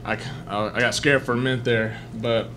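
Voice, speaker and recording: A young man, a college football player recounting a play at a postgame press table, relaxed and full of "um.". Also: mid-range voice, normal speed, noisy background. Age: young adult. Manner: easygoing, filler-heavy. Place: press room before a sponsor backdrop. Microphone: table mic in front.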